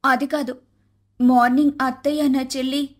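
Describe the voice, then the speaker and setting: A woman storyteller plays a young wife and elder sister in a cartoon family drama. Her voice sits high, and she carries a wronged, vengeful temper. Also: little pitch movement, moderate pace. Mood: hurt, tearful.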